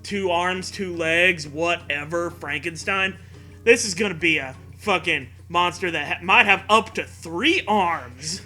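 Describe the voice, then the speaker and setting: A man's voice: high-pitched, unhurried, bright, forceful tone, soft background music. A man co-hosting a comedic read-aloud of fan fiction, riffing casually with mocking sarcasm and swearing. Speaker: co-host reading fan fiction.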